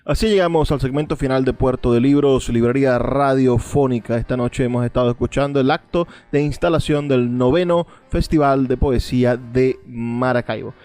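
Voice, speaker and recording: A male radio host speaks formally and eloquently. His pitch is medium. Music plays underneath him. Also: moderate pace, hardly any pauses, darkish tone. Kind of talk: radio presenting.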